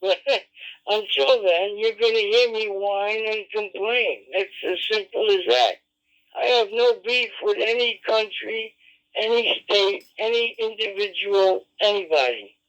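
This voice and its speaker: A man with a notably high voice speaks at a slow, deliberate pace. He is combative and repetitive, swearing as he argues. The audio is a dull, lo-fi radio transmission. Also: a bit bright. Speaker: amateur radio operator. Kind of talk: radio argument. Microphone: radio transceiver microphone.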